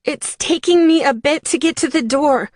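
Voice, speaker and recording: A woman's voice: high voice, moderate pace, darkish tone, dry recording, studio quality, voice pushed up, close to an everyday voice. A woman voicing a frightened, desperate pregnant young woman in pain, pleading in an audiobook. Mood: urgent, pleading. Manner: pleading, strained. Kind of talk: audiobook character dialogue.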